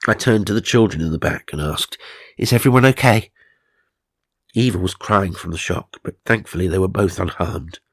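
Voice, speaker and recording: Low, creaky British man reading tongue-in-cheek. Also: fairly quick, hardly any pauses, no room sound, clean studio sound. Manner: mock-serious, sardonic asides. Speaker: narrator and host. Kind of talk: comic reading of magazine stories with asides.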